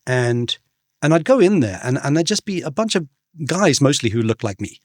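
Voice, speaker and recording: A man in his fifties who grew up in Belgium, talking as a guest in an interview, telling stories with lively leaps in pitch and lots of quick restarts. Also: no echo, studio quality. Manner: animated storyteller, frequent restarts, pitch jumps for emphasis. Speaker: guest, culture author. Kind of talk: conversational interview storytelling.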